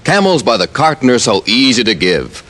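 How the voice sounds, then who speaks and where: A man's voice: mid-range voice, sing-song, normal speed, a bit bright. A man as a radio announcer, reading ads and credits in a polished, persuasive style. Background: music.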